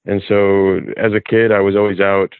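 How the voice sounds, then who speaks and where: Low gravelly midwest guy, laid back, sounds like a thin phone line. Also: moderate pace, no room sound. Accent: American Midwest. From Kuwait. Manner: relaxed, easygoing, many ums and uhs. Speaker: off-road club organizer, guest. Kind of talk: casual interview chat.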